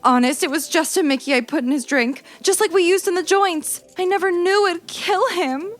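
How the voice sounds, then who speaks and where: An actress playing a streetwise, anxious gangster's moll on the run, pleading in 1940s radio noir style. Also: female voice, extremely high pitch, normal speed. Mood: pleading, confessing. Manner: pleading, streetwise slangy talk.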